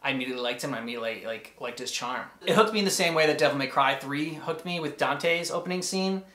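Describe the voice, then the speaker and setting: A man in his thirties chatting casually as a reaction host, with a halting, enthusiastic delivery. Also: fairly high pitch, sharp tone. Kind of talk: casual commentary chat. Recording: roomy indoor echo.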